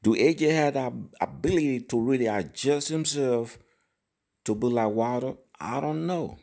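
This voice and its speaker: A man's voice: medium pitch, moderate pace, gravelly, a little mellow. A man hosting a boxing channel, giving casual, profane commentary and often asking "you know what I mean?".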